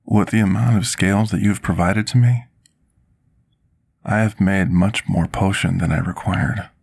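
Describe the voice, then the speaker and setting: Gentle fantasy potion master, played by a male actor: deep, gravelly, soft-spoken ASMR, politely submissive servant. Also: fairly even intonation, measured pace, full character voice. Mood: gently concerned. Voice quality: husky, gravelly. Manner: formal, polite, submissive, archaic wording. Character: gentle, timid, deferential male potion master serving a dragon.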